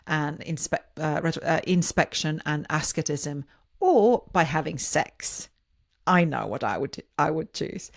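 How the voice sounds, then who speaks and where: A woman with a Finnish accent hosting a podcast, speaking informally on her own and often rephrasing herself mid-sentence. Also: deep voice. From Finland. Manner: hesitant, rephrases and repeats words mid-sentence. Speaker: podcast host. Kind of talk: informal solo podcast monologue.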